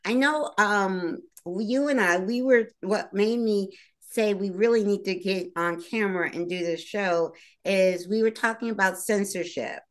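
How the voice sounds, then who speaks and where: A woman's voice: mid-range voice, unhurried. A woman hosting and producing her own talk show, chatting casually with many fillers and restarts. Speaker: host and producer. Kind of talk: casual interview chat.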